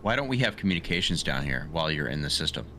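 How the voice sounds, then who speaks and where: A man's voice: rumbling low, big pitch swings, fast, bright, forceful tone, slight background noise. A man playing a tabletop role-playing game, casually asking questions in and out of character.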